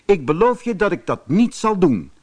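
A man's voice: high voice, very animated, moderate pace, darkish tone, voice pushed up. Een man die een sluwe, hongerige tijger speelt in een sprookje, vleierig, zelfingenomen en snel ongeduldig. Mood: wheedling. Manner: wheedling, self-satisfied, impatient when crossed. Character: sly, vain, hungry male tiger, falsely polite. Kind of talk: storybook character voice.

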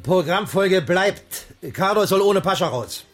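An actor playing a harassed, gruffly good-natured circus director in his forties, in a 1980s German radio drama. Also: high-pitched, expressive, a little slow. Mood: decisive. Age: 40s. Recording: radio play, faint music behind.

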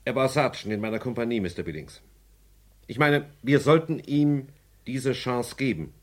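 A blunt, loyal security chief with a soldier's bearing, played by a man in a radio drama. His mid-pitched voice swings widely, clipped yet respectful and emphatic. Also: expressive, moderate pace, natural voice. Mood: earnest. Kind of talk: old German radio crime play.

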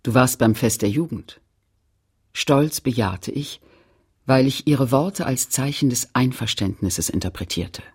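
Husky woman narrator, sober and deliberate, radio drama about a shamed childhood. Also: rumbling low, lively intonation, darkish tone, heavily acted. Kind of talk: scripted literary radio narration.

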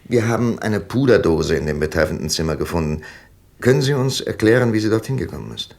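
An actor playing a stern, upright police commissioner, brisk and probing, in a radio play. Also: male voice, lowish voice, expressive, vocal fry, a little mellow. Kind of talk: German radio play dialogue.

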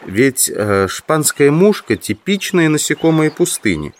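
A man playing an earnest, obsessive amateur entomologist in an audio drama, musing in a deliberate, reflective monologue. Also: fairly high pitch, sing-song. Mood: wistful, fascinated.

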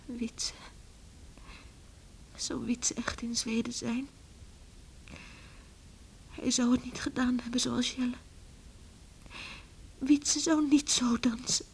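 High-voiced headstrong young village woman. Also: little pitch movement, slow, vocal fry, long pauses. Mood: troubled brooding. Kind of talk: Dutch radio play acting. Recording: noisy old radio drama recording.

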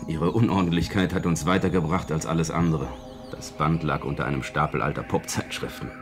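An actor playing a matter-of-fact secret service investigator briefing a colleague in a radio play, in a dry, clipped manner. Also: male voice, a bass voice, fairly even intonation, brisk, music in the background. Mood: wry, brooding. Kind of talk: 1970s German radio play dialogue.

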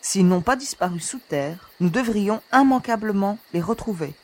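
A woman acts out a young space expedition leader in a science-fiction audio drama. Her voice sits at a middle pitch. She is commanding and sharp-tongued, with anxiety underneath. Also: animated, moderate pace. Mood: businesslike. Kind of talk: French sci-fi audio drama acting.

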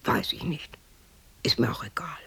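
An actress playing a lonely, embittered widow in her sixties, muttering erratically to herself in a husky, breathy radio play monologue. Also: a bit bright, no room sound, in a whisper, lightly acted. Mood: brooding, hushed. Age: 60s. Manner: muttering, brooding, erratic, halting. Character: lonely, embittered sixty-year-old widow talking to herself.

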